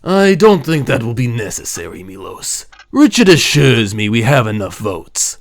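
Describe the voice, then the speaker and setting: A man playing an older crime lord in a comic dub drama, gravelly and deliberate, courteous but menacing and patronising. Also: mid-range voice, big pitch swings. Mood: commanding, weary.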